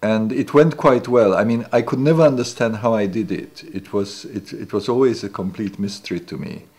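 A man's voice: lowish voice, normal speed, a little mellow, slightly rough. A man in his sixties, not a native English speaker, recalling the past in a seated interview in a living room, hesitant with many restarts. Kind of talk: reflective recollection. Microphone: off-camera, seated interview.

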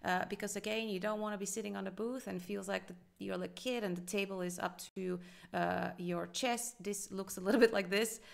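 A woman's voice: medium pitch, fairly even intonation, a little fast, creaky. A woman in her thirties giving casual commentary as a restaurant consultant, thoughtful and full of fillers, recorded at a desk. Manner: hesitant, many fillers, thoughtful. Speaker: restaurant consultant reviewing a design.

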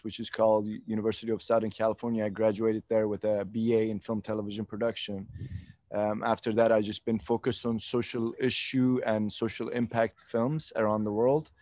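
A man in his thirties from eastern Turkey, a film director answering interview questions on a video call, earnest and pausing to search for words. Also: lowish voice, level tone, mellow, dry recording. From eastern Turkey, Kurdish region. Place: outdoors in a sunny garden. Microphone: video call laptop mic.

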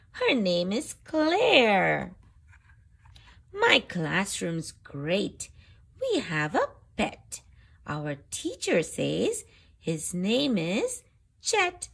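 A woman reading a picture book aloud for young children, with deliberate phrasing and expressive emphasis. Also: a little high, vocal fry.

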